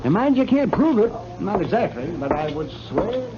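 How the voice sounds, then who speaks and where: An American man acting an excitable, muttering older frontier doctor in a 1950s radio western, with a folksy, flustered delivery. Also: high-pitched, normal speed. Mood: conspiratorial, emphatic. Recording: dull, noisy old radio recording.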